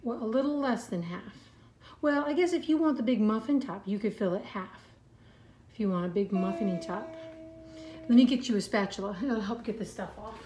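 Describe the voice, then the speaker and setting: A woman's voice: fairly high pitch, moderate pace, mellow, a little hiss or hum. A woman in her thirties, a mom hosting a casual family cooking video, patiently walking a child through each step with encouragement, recorded on a counter camera in a home kitchen. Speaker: mom and channel host. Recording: echoey kitchen with clattering dishes.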